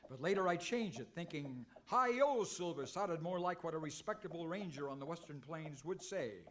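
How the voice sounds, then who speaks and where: An actor playing a bumbling, earnest, mildly exasperated cowboy hero in a comic old-time radio western. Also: male voice, fairly high pitch, expressive, moderate pace, a bit bright. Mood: wry complaining. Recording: faintly noisy live stage recording.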